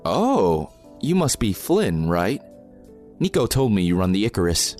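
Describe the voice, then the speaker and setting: A blunt, sardonic man in an audio drama, wary and dry. His voice sits low but moves around freely, with music beneath it. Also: very animated, measured pace, darkish tone. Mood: blunt concern. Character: dry, wary male protest reporter hosting guests. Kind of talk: fiction podcast acting.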